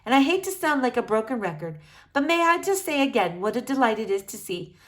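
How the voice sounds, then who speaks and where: A woman's voice: a little high, sing-song, fairly quick, voice pushed up. A woman voicing a wordy, earnest young boy in a children's storybook, speaking in a formal, effusive manner. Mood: delighted. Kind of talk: acted children's storybook dialogue.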